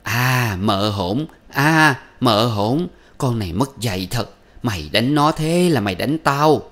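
A man's voice: mid-range voice, animated, a little slow, voice pushed up, lightly acted. A man voicing a furious, domineering older mother-in-law who scolds and wails melodramatically. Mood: raging, wailing. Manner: scolding, wailing, self-pitying.

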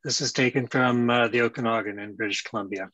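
A man presents images in a casual online meeting. His voice is bright and forward, with a mid-range pitch, and it sounds quite dry through a video call microphone. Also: steady tone, quick pace, dry recording, poor audio. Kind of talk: casual commentary on shared images.

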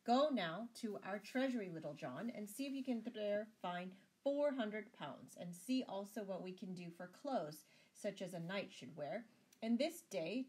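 A man's voice: high-pitched, darkish tone, husky, voice pushed up. A woman voicing a generous, confident male outlaw leader with a courtly, assured manner in a storybook. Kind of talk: storybook character voice.

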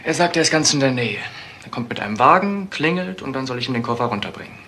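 A eager young son in a crime radio drama. He talks fast in a bright, tense voice, trusting and keen, with faint noise behind. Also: medium pitch, lively intonation. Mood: eager, agreeable. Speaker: actor in a crime radio play.

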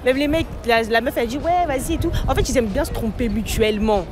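A woman's voice: fairly high pitch, fast. A woman in her twenties chatting in casual slang, telling things vividly with acted-out quotes. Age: 20s. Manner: animated storytelling with acted-out quotes. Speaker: street interviewee. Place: busy city square, outdoors. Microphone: handheld mic close by.

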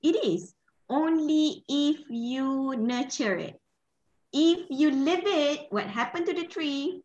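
A Malaysian woman lectures in a fairly high voice to an online class. She is informal and checks often that everyone follows. The sound is slightly muffled, as from a headset on a video call. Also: lively intonation, slow pace, darkish tone. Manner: informal, checks often with listeners, tags with "yeah?" and "okay?". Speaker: university lecturer leading an online training. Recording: dull, lo-fi video-call audio.

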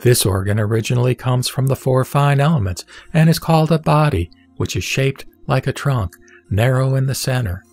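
A man reads scripture as a scripted narration, solemn and deliberate in his delivery. His voice sits at a middle pitch, with music playing behind him. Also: animated, darkish tone, no room sound. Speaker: sutra reciter. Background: background music.

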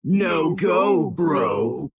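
A man's voice: fairly high pitch, lively intonation, very slow, dry recording, raised voice, clean studio sound, exaggerated voice. An actor voicing a hulking male alien symbiote monster in a cartoon, taunting and sneering, speaking of himself as "we". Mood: menacing. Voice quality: gravelly, raspy, hissing. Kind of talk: cartoon voice acting.

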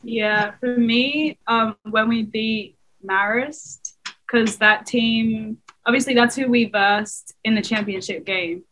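A woman's voice: a little high, slow pace, a bit bright, low-quality recording. Young Australian woman, chatty. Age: young adult. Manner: casual, chatty, lots of fillers. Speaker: college basketball player. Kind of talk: video call interview. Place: small room, indoors.

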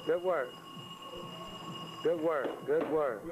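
A man's voice: fairly high pitch, slow pace, dull audio, heavy background noise. A man coaching a boxer on the pads with short, repeated calls in an indoor gym.

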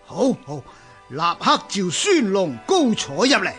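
A man's voice: high-pitched, wildly swooping pitch, unhurried, a bit bright, soft background music, heavily acted. A male actor playing a gleeful, greedy Chinese emperor in a Cantonese radio drama, with a Hong Kong accent and an imperious manner. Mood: pleased, commanding. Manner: chuckling, imperious. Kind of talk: historical radio drama acting.

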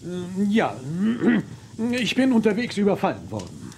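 An actor playing a hesitant but dignified army general who hems and haws, in a western radio play. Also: male voice, high-pitched, wildly swooping pitch, darkish tone, a little hiss or hum, full character voice. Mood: halting, explaining. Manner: halting, hemming.

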